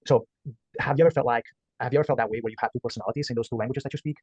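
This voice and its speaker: A man's voice: medium pitch, steady tone, racing, a little mellow, slightly rough, no room sound, highs cut off. A polite man asking the host a question in a workshop, thanking others first. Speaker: workshop participant.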